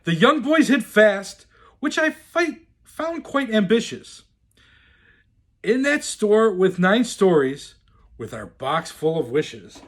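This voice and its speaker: Slow, swooping male storyteller. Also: high voice, very animated, fairly bright tone. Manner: theatrical, deliberate, swooping delivery. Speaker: storyteller in the role of Santa. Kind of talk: expressive reading of a rhyming children's storybook.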